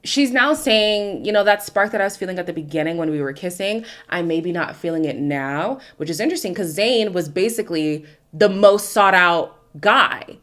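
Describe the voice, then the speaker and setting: A woman's voice: on the low side, lively intonation, moderate pace, hardly any pauses, a bit bright. A young woman from Canada, whose first language is Ndebele, chatting through a casual recap of a dating show with strong opinions. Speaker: recap host.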